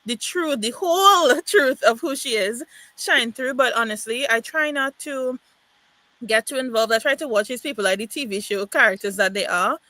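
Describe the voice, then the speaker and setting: Higher-voiced woman, emphatic and blunt, dry sound. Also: normal speed, bright, forceful tone, no room sound. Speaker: panelist. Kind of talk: casual chat about a reality show.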